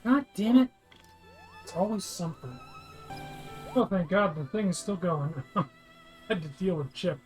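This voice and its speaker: A man's voice: high-pitched, unhurried, pauses often, faint music behind. A man giving casual, sarcastic, sweary game commentary that describes the scenes for blind players. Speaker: host of a descriptive let's play for blind players.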